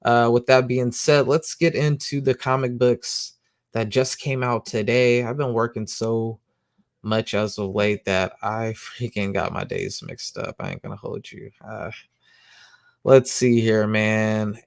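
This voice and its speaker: A man hosting a comic book show, rambling casually in slang, who says he has a loud voice. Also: medium pitch, fairly even intonation, a little slow, dry recording, studio quality. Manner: slangy, rambling, lots of "you know what I'm saying". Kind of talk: casual monologue.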